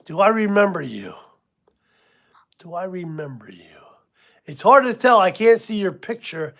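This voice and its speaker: Chatty sixties man, fairly high voice. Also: very animated, measured pace, fairly bright tone. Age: 60s. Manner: casual, rambling, chuckles at himself, many ums. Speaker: livestream host. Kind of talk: live stream chat with viewers.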